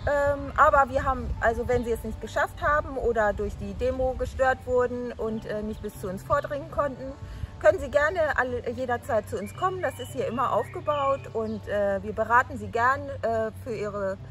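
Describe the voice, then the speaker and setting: Higher-voiced middle-aged woman, hesitant. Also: normal speed, a bit bright. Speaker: garden nursery worker. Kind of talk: casual interview statement. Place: outdoors in a plant nursery garden.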